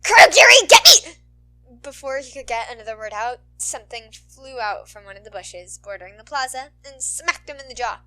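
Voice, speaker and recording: A woman reading a scripted story aloud as an expressive narrator. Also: a little high, a little fast, few pauses, poor audio. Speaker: narrator, podfic reader.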